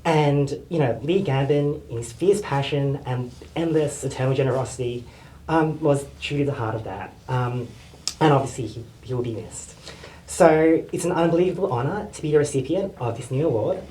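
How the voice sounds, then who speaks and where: Woman, deep mellow voice, shy acceptance speech in an echoey room. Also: slight background noise. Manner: soft-spoken, halting with fillers. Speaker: award recipient. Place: event venue, large room.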